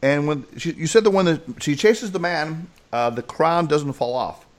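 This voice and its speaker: An American man hosting an interview podcast in a chatty, animated way. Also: fairly high pitch, moderate pace. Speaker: host, clinical psychologist and speaker.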